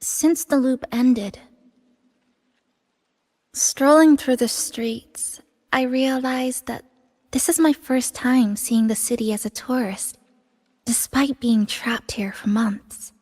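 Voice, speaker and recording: A woman playing a gentle, reflective young woman in a video game, soft-spoken, measured and wistful. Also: on the high side, long reverb tail. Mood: apologetic, earnest. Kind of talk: scripted video game character dialogue.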